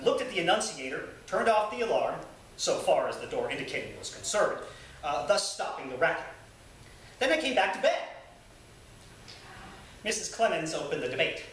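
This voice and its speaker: A man reads live in a very echoey room, picked up from far off. His voice sits high for a man. He has an animated, theatrical storytelling delivery, as if on a small stage. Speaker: reader at a live literary evening. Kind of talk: live reading with chatty introduction. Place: small theatre venue, indoors. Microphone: handheld camcorder at a distance. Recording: echoey room, distant camcorder mic, background noise.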